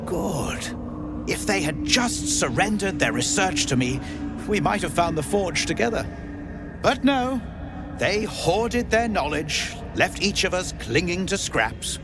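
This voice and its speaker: A man's voice: high voice, very animated, unhurried, over music, full character voice. A man playing a pompous, scheming drow wizard in a video game, theatrical and haughty but given to obsequiousness. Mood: gloating. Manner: theatrical, haughty, stammering when deferential.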